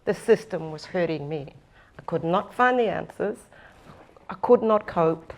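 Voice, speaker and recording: A woman giving a slow, earnest, deliberate talk at a conference session, stressing her points by repeating them. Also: lowish voice, lively intonation, highs cut off. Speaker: First Nations speaker and former government advisor.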